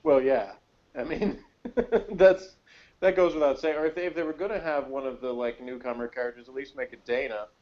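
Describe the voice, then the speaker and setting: A man's voice: medium pitch, a little fast, darkish tone. Meandering man in slightly muffled sound. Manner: conversational, meandering, frequent "like". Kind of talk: casual opinionated chat. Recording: slightly dull, lo-fi voice recording.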